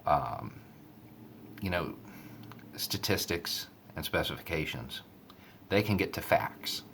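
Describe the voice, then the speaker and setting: A man giving casual, conversational commentary and storytelling in a measured way. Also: rumbling low, animated, vocal fry, fairly bright tone, a little hiss or hum. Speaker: online commentator.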